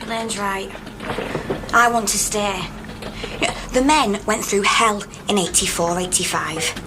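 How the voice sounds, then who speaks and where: An actress playing a supportive, steadfast miners' wife in a naturalistic radio drama. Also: female voice, medium pitch, slow pace, noisy background, lightly acted. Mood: steady resolve.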